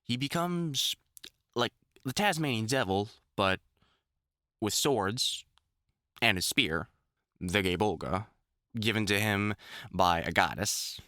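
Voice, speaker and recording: A man playing an older, experienced vampire hunter in an intimate audio roleplay, talking to the listener in a halting, wry, earnest way. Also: fairly low pitch, animated, pushed and bright, no echo, studio quality, exaggerated voice. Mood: thoughtful. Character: gruff, caring older experienced male vampire hunter, mentoring.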